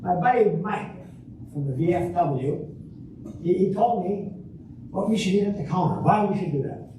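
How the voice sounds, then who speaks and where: An older man acts out a stingy, scheming old husband in a folksy stage comedy. His voice sits high, halting and repeating phrases. It is heard from far off in a very echoey, noisy hall. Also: expressive, dark tone. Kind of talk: amateur reader's theater comedy performance. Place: large echoey room, live stage reading.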